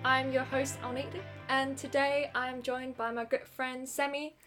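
A woman podcast host with a slightly high voice. She talks informally and chattily, with a giggly edge, as in a casual conversation with a friend. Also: level tone, measured pace, music in the background. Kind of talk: casual podcast interview chat.

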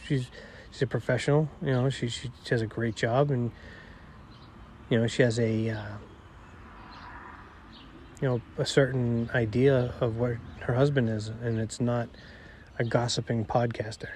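A man's voice: mid-range voice, slight background noise. Rambling nasal middle-aged man. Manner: rambling, self-deprecating; quiet, not projecting. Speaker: podcast host. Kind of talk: casual solo monologue. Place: outdoors.

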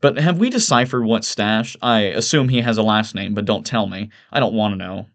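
A man voicing a smug, lewd, loudmouthed young yuppie with a sneering, sarcastic delivery in an audiobook. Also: lowish voice, moderate pace, lightly acted. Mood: scornful. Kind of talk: audiobook character voice.

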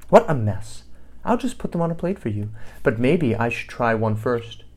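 A man's voice: mid-range voice, very animated, normal speed. A man voicing a fussy, self-satisfied little female bird in a storybook read-aloud, talking in a prim, chirpy way. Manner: prim, chirpy, pleased with herself.

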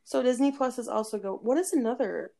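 A woman's voice: a little high, a little fast, vocal fry, dark tone. A woman co-hosting a podcast in bubbly, gushing casual chat, sometimes groping for words. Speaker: podcast co-host.

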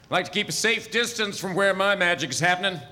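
A wry old wizard speaks in folksy, hesitant asides. His voice is bright and a little high, ringing in a reverberant hall in a live comedy play. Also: fast talker. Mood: wry, easygoing. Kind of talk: live audio theatre comedy acting.

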